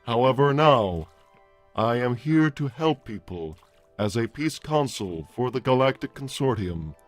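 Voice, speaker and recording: Man voicing a slow, stilted, formal robot. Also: medium pitch, animated, faint music behind, natural voice. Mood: solemn, formal. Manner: stilted, formal, deliberate phrasing. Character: male robot peace consul, formal, wounded and bitter. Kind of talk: improvised character roleplay on a livestream.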